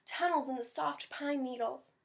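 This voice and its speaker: A small storybook mouse read by a woman speaks sweetly in a raised pitch. It is gentle, content and happy to agree with its friends. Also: moderate pace, vocal fry. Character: small, gentle, appreciative mouse from a picture book.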